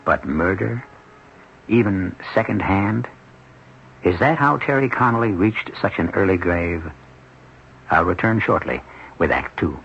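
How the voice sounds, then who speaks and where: A man hosting and narrating an old radio mystery in a deliberate, portentous scripted style. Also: deep voice, lively intonation, creaky, a bit bright, breathy edge. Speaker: host and narrator. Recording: dull vintage radio recording with music.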